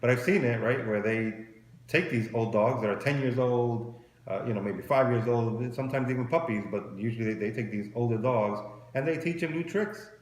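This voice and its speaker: A man's voice: medium pitch, normal speed. A man in his forties giving casual, conversational advice as a co-host, often rephrasing his points. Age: 40s. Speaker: co-host of a marriage advice show. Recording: roomy echo with faint background noise.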